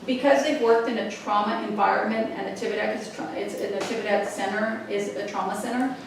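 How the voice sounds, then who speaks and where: A woman's voice: medium pitch, fairly even intonation, brisk. A woman giving an informal executive report to a board, hesitant and often restarting her phrases. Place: indoor board meeting room. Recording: very echoey room, distant mic, faint noise.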